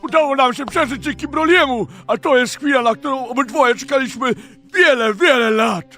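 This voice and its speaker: A man's voice: very high voice, normal speed, sharp tone. A man voicing a campy, doting yet scheming alien warrior father with theatrical, mock-sweet speech in a parody anime fandub. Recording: music behind the voice.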